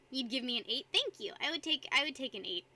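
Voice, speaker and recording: A woman's voice: sits high, lively intonation, brisk, bright, forceful tone. A young woman streaming live, chatting casually with her viewers and rambling between frequent giggles. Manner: giggly, rambling, reads chat aloud.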